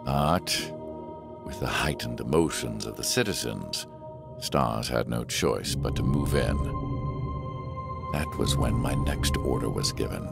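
An actor playing a cold, scheming double agent who narrates his plans in a measured, detached, gravelly voice. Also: rumbling low, over loud music, heavily acted. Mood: cold, calculating. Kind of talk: scripted narration, report-like.

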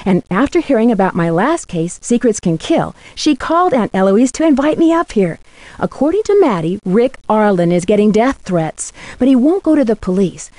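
Game role: teasing, curious young American female sleuth. Fairly high pitch, dry studio sound. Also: mellow, dry recording. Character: bright, inquisitive, teasing young woman amateur detective. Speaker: video game voice actor. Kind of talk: scripted game dialogue and letter narration.

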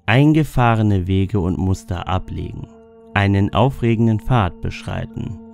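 Deep, gravelly man narrating over music. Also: measured pace, a little mellow. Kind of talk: scripted narration of a dream-symbol lexicon. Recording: music bed under the voice. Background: background music.

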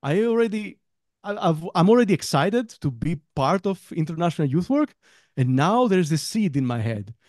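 A man's voice: a little high, very animated, normal speed, darkish tone, no echo, studio quality. A man in his thirties from Greece, talking casually as a guest trainer in a webinar, rambling a little and laughing between phrases. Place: home room, on a video call. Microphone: headset with desk mic.

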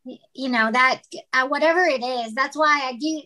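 A woman's voice: high voice, moderate pace, sharp tone. A woman from the Philippines, a writer and actress, speaking as a guest in a casual interview, hesitant with many fillers and restarts.

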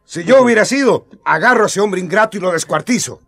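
An actor playing a hot-headed, blunt disciple in a radio drama. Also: male voice, high-pitched, animated, quick pace, gravelly, husky, no echo, clean studio sound, heavily acted. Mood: blustering.

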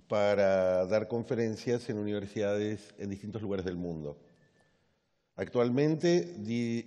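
A man's voice: lowish voice, mellow. A middle-aged man seated on a stage, introducing the keynote speaker in a formal manner while reading from notes. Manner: reads from paper, measured with small restarts. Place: large conference stage, seated. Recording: long reverb of a large hall.

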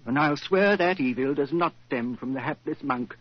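Man acting theatrical, husky gentleman occultist. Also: on the high side, moderate pace, lightly acted. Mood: ominous. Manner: theatrical, formal, ominous. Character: solemn, courteous gentleman ghost investigator. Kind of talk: 1940s radio drama acting. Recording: muffled old 1940s radio recording.

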